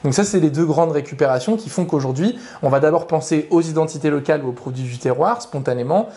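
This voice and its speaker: A man's voice: a little high, fast talker, dark tone. Man explaining in structured points. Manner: structured, lecturing explanations that list points in order. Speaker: author and guest. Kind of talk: explanatory interview answers.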